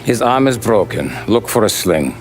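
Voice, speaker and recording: An actor playing a shrewd, coolly formal mafia adviser, speaking precisely and with composure in a crime game. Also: male voice, on the low side, very animated, normal speed, soft background music. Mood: businesslike. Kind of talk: cinematic crime game dialogue.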